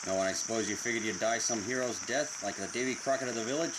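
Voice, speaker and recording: A man's voice: mid-range voice, quick pace, close to an everyday voice. A man playing a cocky, threatening gangster with blunt, slangy talk in a 1940s-style radio drama. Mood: cocky, mocking. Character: cocky, menacing 1940s gangster tough guy. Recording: noisy, hissy recording.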